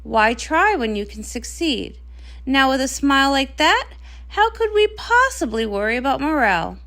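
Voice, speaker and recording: A woman voicing a gracious, brisk middle-aged pony queen and mother, speaking in a regal, polished, encouraging manner. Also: sits high, sing-song, sharp tone, heavily acted. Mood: gracious, firm.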